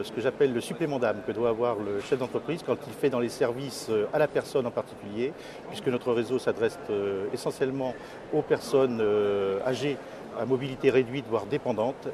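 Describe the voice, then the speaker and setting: A man in his fifties giving hesitant, measured interview answers as a company executive. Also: medium pitch. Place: trade fair interview stand, indoors. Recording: clearly noisy exhibition hall ambience.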